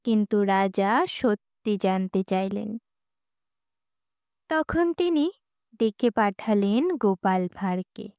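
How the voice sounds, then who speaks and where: A woman narrating a scripted children's folk tale as an audiobook storyteller, with deliberate, expressive emphasis. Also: medium pitch, smooth, no room sound, clean studio sound.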